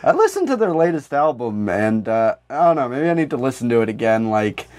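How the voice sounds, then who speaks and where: A man chatting casually as a comedy podcast co-host, rambling, animated and freely swearing. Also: medium pitch, fairly quick.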